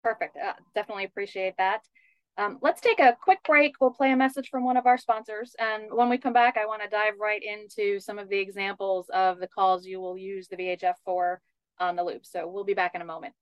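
A woman's voice: medium pitch, steady tone, a little fast, smooth, dry recording, rough recording. A woman hosting a radio show, introducing segments and putting questions to guests in a conversational style.

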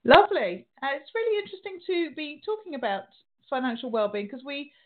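A middle-aged woman hosts an interview show in a relaxed, chatty way, often restarting her sentences. Her voice sits fairly high. The sound is thin and tinny, like a phone line. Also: brisk. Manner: chatty, with frequent restarts and fillers. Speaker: radio show host.

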